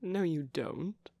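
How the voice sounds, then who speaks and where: A man playing a soft, caring vampire boyfriend in an intimate ASMR roleplay, speaking gently with pauses. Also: fairly high pitch, no echo. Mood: teasing, puzzled. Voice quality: soft, breathy.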